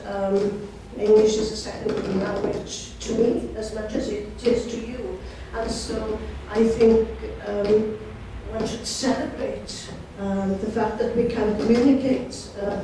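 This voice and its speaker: Woman on a panel, measured and articulate, slightly high voice, mic far away. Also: steady tone, warm tone. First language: Welsh. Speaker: panel guest, poet. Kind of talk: panel discussion. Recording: echoey hall, distant mic, faint noise.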